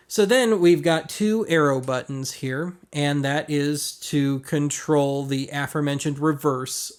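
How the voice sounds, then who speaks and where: A man hosting a casual gear walkthrough, explaining things colloquially with wry, self-deprecating asides. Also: a little high. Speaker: host of a vintage audio gear review show.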